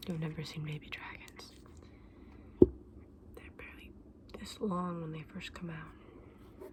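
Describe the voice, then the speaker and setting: A woman whispering breathily in a gentle, unhurried ASMR roleplay as a shopkeeper talking to a customer. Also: low-pitched, flat delivery. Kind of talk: whispered ASMR roleplay as a dragon egg saleswoman.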